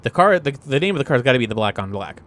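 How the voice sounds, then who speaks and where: A man's voice: mid-range voice, very animated, quick pace, a bit bright, a little hiss or hum. A man chatting casually and improvising as a player at a tabletop role-playing game, sometimes swearing.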